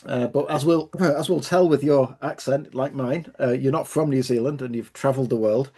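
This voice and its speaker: A man's voice: mid-range voice, moderate pace, a little mellow, slightly rough. Man, podcast host, friendly, casual, stop-start phrasing. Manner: friendly, restarts often. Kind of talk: casual interview chat.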